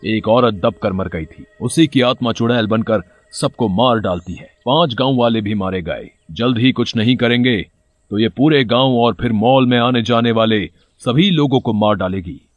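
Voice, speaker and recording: A man's voice: medium pitch, normal speed, no echo, muffled recording, close to an everyday voice. A man voicing a grave old village holy man with a solemn, warning manner in a cartoon story.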